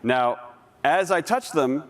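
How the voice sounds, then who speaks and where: Lively male presenter in a big hall. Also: fairly high pitch, slow pace. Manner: conversational, with restarts while demoing. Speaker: conference presenter. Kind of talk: live tech demo presentation. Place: large conference hall stage. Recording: long reverb of a large hall.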